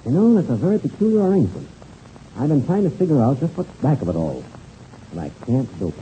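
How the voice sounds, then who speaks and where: An actor playing a confident, shrewd mentor pilot in a 1930s radio adventure serial, brisk and colloquial, on a noisy old recording. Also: male voice, mid-range voice, very animated, mellow, highs cut off, natural voice. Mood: puzzled, wary.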